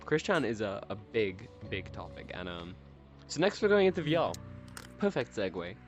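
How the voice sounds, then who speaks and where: A man's voice: lowish voice, sing-song, normal speed, lo-fi sound, faint music behind. A man hosting and editing a casual podcast, steering the topics and teasing his friends with mumbled asides. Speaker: podcast host and editor.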